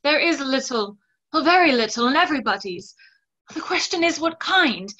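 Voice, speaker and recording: Resolute young gentlewoman, played by a woman: bright, high, carefully spoken, theatrical, on dull video-call audio. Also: lively intonation, moderate pace. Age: young adult. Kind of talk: stage play reading. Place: small room at home, video call.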